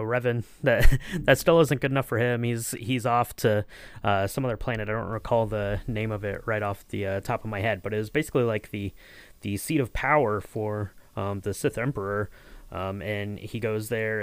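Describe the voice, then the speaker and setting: Male host, mid-pitched and clear, easygoing hesitant recap style, close dry recording. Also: fairly even intonation, normal speed, no room sound. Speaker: podcast host. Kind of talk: casual discussion, recapping a story.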